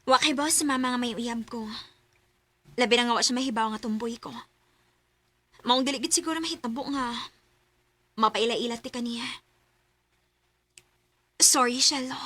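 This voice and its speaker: A playful young sweetheart in a radio drama, with a high, very bright voice and a Cebuano accent. Her delivery is youthful and theatrical. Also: moderate pace, pauses often. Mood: wistful.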